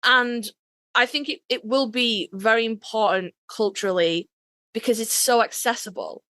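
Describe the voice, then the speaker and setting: Bright-voiced woman, emphatic and sweary. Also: fairly high pitch, moderate pace, dry recording, clean studio sound. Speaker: podcast co-host; author. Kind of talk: casual podcast chat.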